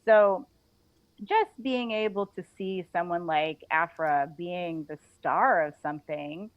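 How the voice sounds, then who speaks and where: A woman speaking as a guest in a casual interview, informal and halting, often chuckling as she talks. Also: on the low side, no room sound, highs cut off. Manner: chuckles often while talking; informal, halting, frequent "like" and "you know".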